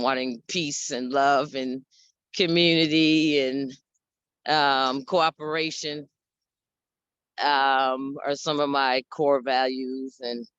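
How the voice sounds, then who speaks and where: A woman with a deep voice and a New York accent, sharing plainly and reflectively in a group video call. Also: clean tone, dry recording, highs cut off. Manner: straightforward, reflective, laughs between thoughts. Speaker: group participant.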